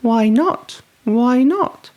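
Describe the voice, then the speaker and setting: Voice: medium pitch, animated, extremely slow, a little mellow, pitched above the performer's own voice. A reader voicing a young female monster child, brave and curious, with eager childlike questioning, in a children's storybook.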